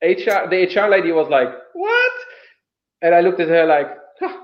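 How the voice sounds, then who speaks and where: A man's voice: high-pitched, huge pitch swings, normal speed, roomy sound, highs cut off. A man hosting a casual conversation, telling stories in a reflective way and acting out quoted remarks. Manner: reflective, storytelling with quoted asides. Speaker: podcast host.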